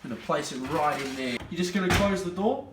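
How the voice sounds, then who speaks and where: A brash young Australian man hosts a mock cooking show with a slightly high voice. He plays the professional and flares up at his helper. The echoey room and the mic some way off keep him distant. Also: animated, fast, noisy background. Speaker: comic cooking show host.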